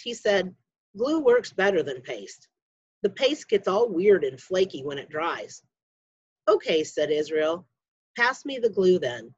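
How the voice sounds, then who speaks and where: A woman, a school media specialist, reading a children's chapter book aloud with expressive storytelling and lifted voices for the dialogue. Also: medium pitch, breathy edge, dry recording, muffled recording.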